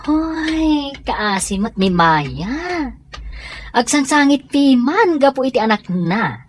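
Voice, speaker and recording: Woman acting a nosy, lively, slow-talking friend. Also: high-pitched, slight background noise, exaggerated voice. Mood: curious, concerned. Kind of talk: Ilocano audio drama acting.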